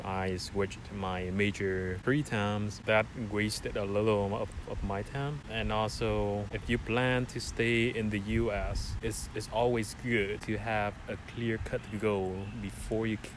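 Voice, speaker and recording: A man's voice: lowish voice, noisy background. A young man with a Vietnamese accent, a graduate student, answers interview questions thoughtfully and often repeats words while he thinks.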